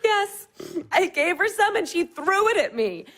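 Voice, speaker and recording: A woman's voice: very high voice, a little slow, exaggerated voice. An actress playing a chatty young woman, a psychology grad student, in an audio play, telling stories with a lot of laughter. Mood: animated. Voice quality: lively, laughing storyteller.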